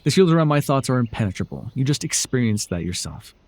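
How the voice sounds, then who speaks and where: A man playing a proud, sardonic telepathic supervillain with hidden tenderness in an ASMR roleplay, theatrical and condescending with a husky voice. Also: mid-range voice, very animated, fast, darkish tone, dry recording. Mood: defensive, evasive. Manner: theatrical, grandiose, flustered, dryly condescending.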